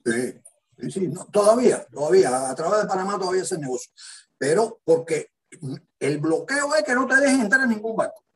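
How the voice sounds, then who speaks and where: Older man, Cuban Spanish accent, emphatic interview guest, very lively intonation. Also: sits high, brisk. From Cuba. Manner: lively, emphatic, acts out quotes in varied voices. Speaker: guest analyst. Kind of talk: animated interview talk.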